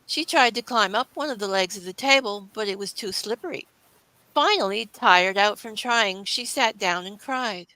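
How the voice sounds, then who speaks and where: A woman narrates a classic children's story from a script. Her voice is bright and forward, at a middling pitch, in a dry, close sound. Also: normal speed, no echo, low-quality recording.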